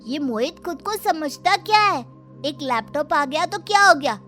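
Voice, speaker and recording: A woman's voice: high-pitched, expressive, moderate pace, sharp tone, faint music behind. A woman voicing a sulky, demanding, whiny schoolboy in a cartoon story. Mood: resentful.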